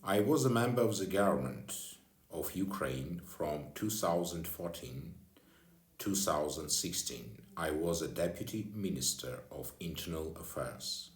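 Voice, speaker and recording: An older retired man, a native Armenian speaker, addresses his followers in slow, halting English with pauses and fillers. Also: very deep voice, expressive, echoey room. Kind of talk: direct address to camera.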